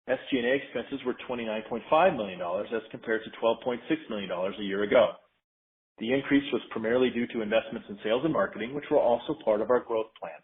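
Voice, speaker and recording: A man in a company finance role reads a scripted earnings presentation in a formal, measured, businesslike way. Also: mid-range voice. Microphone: conference call line. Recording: narrow tinny phone-line sound.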